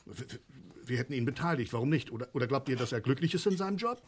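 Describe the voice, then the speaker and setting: A man's voice: mid-range voice, animated, fast, a little hiss or hum, lightly acted. An actor in a radio play as a middle-aged father who is greedy, grandiose and reproachful, nagging and stammering through his big dreams. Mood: grandiose grumbling. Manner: nagging, exclamatory, stammering over grand lists. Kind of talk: naturalistic radio play acting.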